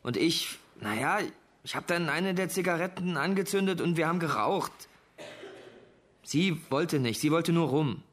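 Radio crime drama: an uneasy schoolboy under questioning, higher-pitched, hesitant and defensive. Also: male voice, normal speed, fairly bright tone. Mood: uneasy, insistent. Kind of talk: German radio play acting.

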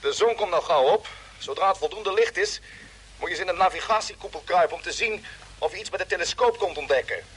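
An actor playing a brisk, commanding spaceship captain who gives orders in mid-century radio drama style. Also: male voice, high-pitched, lively intonation, fairly bright tone, slight background noise, rough recording. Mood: businesslike.

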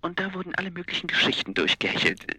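An actor playing an informal police colleague in a radio crime drama, reporting piece by piece in a matter-of-fact way. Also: male voice, sits high, sing-song, very fast, pushed and bright, husky, no room sound, heavily acted.

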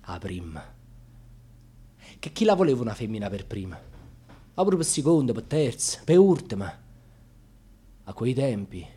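An actor playing an intense, bitterly ironic male storyteller in a radio theatre monologue, with a husky, dramatic delivery that moves between murmurs and outbursts. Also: mid-range voice. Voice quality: husky, often dropping into breathy whispers. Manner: dramatic, swinging from hushed murmurs to loud outbursts.

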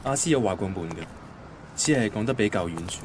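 An actor playing an anxious, defensive young boyfriend from a Cantonese radio drama, conversational and grumbling, with a Hong Kong accent. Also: male voice, fairly low pitch, animated, fast talker, noisy background, natural voice. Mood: reflective, uneasy. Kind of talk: radio drama first-person narration and dialogue. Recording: guitar music behind the voice. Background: guitar music.

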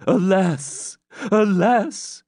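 Man acting out a high-pitched, lamenting old moneylender. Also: sing-song, a little slow, dry recording, clean studio sound, full character voice. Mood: wailing despair. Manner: lamenting, pleading, archaic speech. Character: anxious, wailing, fawning old moneylender, male.

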